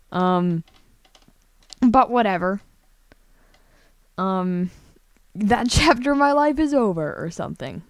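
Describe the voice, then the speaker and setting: A woman's voice: mid-range voice, a little mellow, no echo, studio quality. A young woman chatting casually on a drawing livestream, rambling hesitantly with frequent swearing and self-deprecation. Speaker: streamer. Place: indoors at a computer, screen-share livestream.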